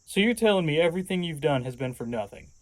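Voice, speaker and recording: A man's voice: on the high side, lively intonation, fast, no room sound, natural voice, low-quality recording. A man playing a skeptical, wisecracking younger man reluctantly dragged into danger in an audio drama, wary and sardonic.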